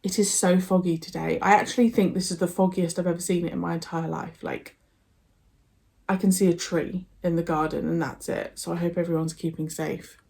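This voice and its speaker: Croaky-voiced woman chatting to camera. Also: lowish voice, flat delivery, a little fast, darkish tone. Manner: chatty and rambling. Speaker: reaction channel host. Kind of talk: casual reaction commentary.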